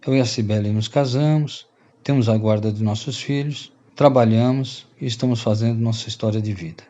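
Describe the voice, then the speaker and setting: Actor as mellow Brazilian man confessing a roguish past. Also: mid-range voice, a little fast, dull audio, close to an everyday voice. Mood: earnest. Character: repentant rogue and former freeloader confessing to friends. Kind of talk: radio drama storytelling.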